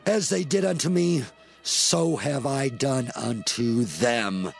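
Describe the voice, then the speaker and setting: A man's voice: mid-range voice, slow, vocal fry, soft background music. A man playing a proud, vengeful young strongman who declaims his challenges in a scripture drama. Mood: defiant.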